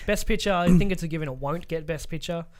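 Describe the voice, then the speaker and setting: A man with a fairly high-pitched voice chats as co-host of a casual film podcast. He is opinionated and blunt, and he swears freely as he talks. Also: fast talker. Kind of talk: casual movie podcast chat.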